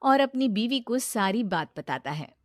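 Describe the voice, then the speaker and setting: A woman narrating a moral cartoon story in a steady, matter-of-fact way. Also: a little high, unhurried, no room sound, clean studio sound.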